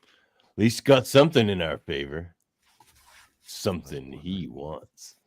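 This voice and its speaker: A defiant, gruff superhero brawler, acted by a man in a radio play. The lines are clipped and wry, with pitch that swings very widely, in a dry recording. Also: sing-song, slow, no room sound, full character voice. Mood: tense, terse. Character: gruff, defiant male mutant brawler, hot-tempered hero.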